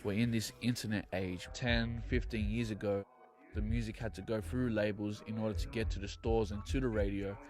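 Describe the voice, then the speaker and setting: Young man, low monotone voice, matter-of-fact, music playing behind. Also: normal speed. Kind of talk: interview.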